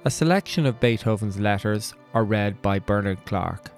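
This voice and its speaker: A man's voice: lowish voice, animated, moderate pace, faint music behind. A man presenting a podcast with a scripted introduction.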